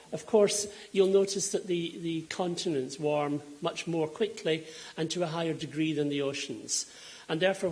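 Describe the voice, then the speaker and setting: A man with a Scottish accent shaped by Donegal roots, giving a public lecture on climate to an audience, explaining slides with ums and self-corrections. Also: a little high. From Scotland. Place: lecture hall before an audience.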